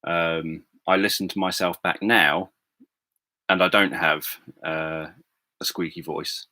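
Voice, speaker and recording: Deep-voiced man, soft and halting. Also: fairly even intonation, dry recording, studio quality. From England. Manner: hesitant, many fillers and restarts. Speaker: livestream host. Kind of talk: casual livestream chat answering viewers. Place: home room.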